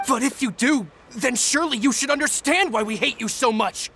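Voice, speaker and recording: A man's voice: very high-pitched, brisk, sharp tone, a little hiss or hum, full character voice. A man voicing a bitter male thug leader seeking revenge, defiant and resentful, in a video game dub. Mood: sullen.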